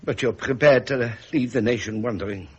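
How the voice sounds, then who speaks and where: Man playing a husky, aggrieved royal heir. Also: mid-range voice, moderate pace, a little mellow, muffled recording, lightly acted. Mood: defiant. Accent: English upper-class. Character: frustrated, aggrieved royal heir, pleading and indignant son. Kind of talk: vintage British radio drama acting.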